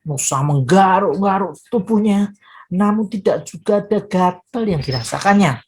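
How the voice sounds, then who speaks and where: A woman's voice: mid-range voice, animated, moderate pace, darkish tone. A woman storyteller narrating expressively for children, with dramatic phrasing and direct address to her young listeners.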